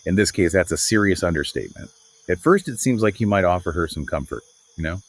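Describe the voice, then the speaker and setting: Male co-host, deep and lively, emphatic and dramatic, conversational book-discussion style. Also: fast, slightly rough, dry recording. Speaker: podcast co-host.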